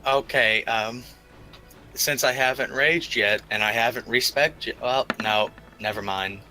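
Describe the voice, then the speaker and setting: A man's voice: medium pitch, unhurried, bright, forceful tone, faint music behind. A man playing a tabletop roleplaying game, talking in casual, cocky, informal banter.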